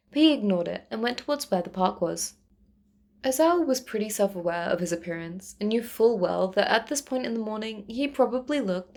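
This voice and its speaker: A woman's voice: medium pitch, darkish tone. A woman reading fiction aloud as narrator in a scripted storytelling style. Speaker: narrator, fan fiction reader.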